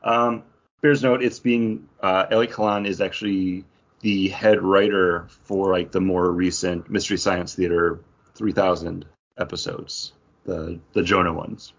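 A man co-hosts a podcast in a relaxed conversational style. His voice sits a little low and has a husky grain. The audio is somewhat dull and lo-fi. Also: unhurried. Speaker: podcast co-host. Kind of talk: casual chat about comics. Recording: dull, lo-fi sound.

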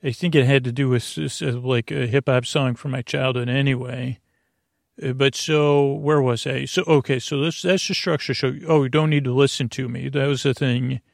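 A man hosting a bedtime podcast in a halting, stammering, meandering monologue with a gravelly, creaky voice, often losing his thread. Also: medium pitch, fairly even intonation, no echo, clean studio sound. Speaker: host of a bedtime sleep podcast. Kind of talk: rambling, meandering monologue to lull listeners to sleep.

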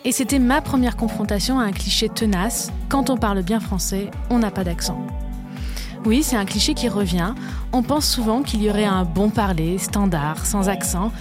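A woman hosting a podcast, giving clear, measured scripted narration and interviewing guests. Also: medium pitch, music in the background. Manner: clear, measured, articulate delivery. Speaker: podcast host.

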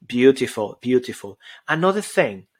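A man from Venezuela with a Venezuelan Spanish accent, co-hosting a podcast and sharing motivational speaking tips in an affectionate, drawn-out way. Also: medium pitch, very animated, slightly rough. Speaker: podcast co-host.

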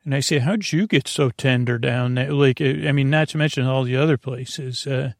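A man hosting a bedtime podcast in a meandering monologue, halting and digressive, often trailing off and restarting. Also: medium pitch, no echo, clean studio sound. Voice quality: creaky, gravelly. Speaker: podcast host.

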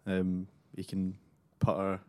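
Flat-voiced, laid-back male co-host. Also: low-pitched, slow pace, no room sound. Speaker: co-host and player. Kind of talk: casual sports podcast chat.

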